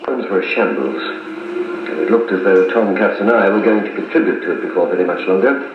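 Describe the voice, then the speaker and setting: An actor playing a wounded, stoic army major with clipped, wry, understated speech in a 1950s radio serial. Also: male voice, low-pitched, a little fast, muffled recording, close to an everyday voice. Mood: grim narrating. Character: wounded British army major, stoic, wryly good-humoured. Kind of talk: 1950s radio drama acting. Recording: 1950s wire recording, thin, echoey, noisy.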